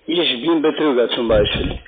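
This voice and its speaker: A man with a foreign accent, not a native German speaker, working as a call centre agent and speaking in halting, formal phrases. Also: mid-range voice, noisy background. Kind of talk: phone sales conversation. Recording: tinny phone line, busy chatter behind. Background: crowded call centre chatter.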